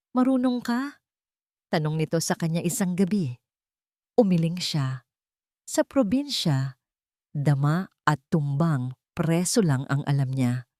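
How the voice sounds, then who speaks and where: A woman's voice: sits low, a little mellow, no echo, clean studio sound. A woman storyteller narrating a scripted romantic story.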